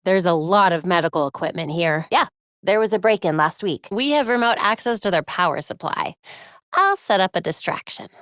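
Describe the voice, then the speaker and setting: A woman's voice: fairly low pitch, expressive, fairly bright tone, no room sound, studio quality. A woman playing a brainy, determined young vigilante heroine in a video game, wry, earnest and conversational. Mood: focused. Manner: conversational, quick-witted, earnest, talking to herself and teammates.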